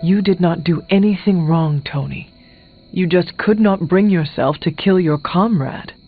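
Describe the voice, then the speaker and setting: A solemn, high-pitched young hero. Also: male voice. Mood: calm consolation. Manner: measured, formal, avoids contractions. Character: solemn, formal young male demon-hunting hero, earnest and blunt. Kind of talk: video game voice acting. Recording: thin phone-like game audio, music behind.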